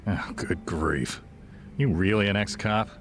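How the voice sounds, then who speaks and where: An actor playing a dry, laid-back, grumbling bar owner in a video game, weary and grudging. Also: male voice, fairly low pitch, unhurried, fairly bright tone, a little hiss or hum. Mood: grudging, resigned. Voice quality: gravelly. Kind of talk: dubbed video game cutscene acting.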